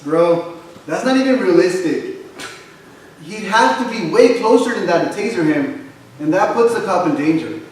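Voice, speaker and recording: A man's voice: sits high, animated, normal speed. A man playing a skeptical young guy who casually argues in defense of police in a staged play reading. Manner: casual, argumentative. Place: theater hall, recorded from the audience. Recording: roomy, distant stage recording with faint noise.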